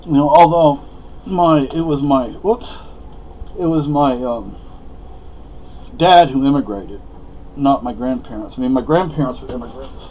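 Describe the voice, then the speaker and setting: Spanish-born man, native Spanish speaker, talking off the cuff; halting, opinionated, mid-pitched, dull muffled sound. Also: a little mellow, slight background noise. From Spain. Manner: rambling, halting, frequent fillers and restarts. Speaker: vlogger.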